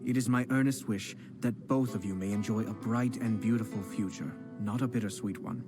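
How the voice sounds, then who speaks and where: A man voicing a composed young male noble clan head who speaks in a measured, formal and polite way in a game. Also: lowish voice, fairly even intonation, close to an everyday voice. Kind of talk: video game voice acting. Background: game music.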